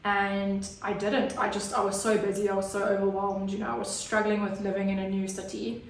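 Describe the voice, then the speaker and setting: A woman's voice: mid-range voice, little pitch movement, a little fast. Earnest woman in an echoey room. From Zimbabwe. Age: 30s. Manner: earnest, hesitant, many fillers. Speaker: guest. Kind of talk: personal story in an interview. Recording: roomy, distant mic.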